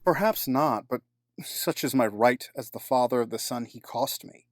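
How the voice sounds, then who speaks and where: An actor playing a cold, scheming middle-aged businessman villain in an audio drama, formal and measured with a sardonic edge. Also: medium pitch, no room sound, clean studio sound, close to an everyday voice. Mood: cold, bitter.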